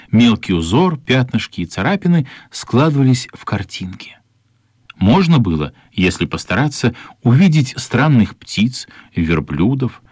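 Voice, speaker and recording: A man narrating a children's story as scripted radio drama, with expressive, unhurried dramatic phrasing and a husky voice. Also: mid-range voice, dry recording, studio quality.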